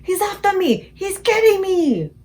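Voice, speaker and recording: A woman's voice: medium pitch, very animated, takes it slowly. A woman voicing a huge, terrified male giant who shrieks and pleads in mangled grammar. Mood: terrified shrieking.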